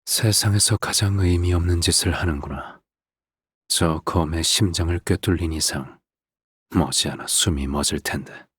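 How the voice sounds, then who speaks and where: An actor playing a calm, sinister middle-aged father in a game, speaking in a measured, soft, condescending way with a husky, breathy voice. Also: sits low, dry recording, studio quality, heavily acted. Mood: cold, mocking. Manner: measured, soft-spoken, condescending, trailing into breathy near-whispers. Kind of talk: theatrical scripted game voice acting.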